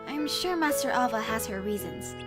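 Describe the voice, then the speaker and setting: Girl warrior voiced by a woman, kind yet resolute, high voice, loud music behind, anime fandub. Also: animated, moderate pace, lightly acted. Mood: friendly. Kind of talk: anime game fandub acting.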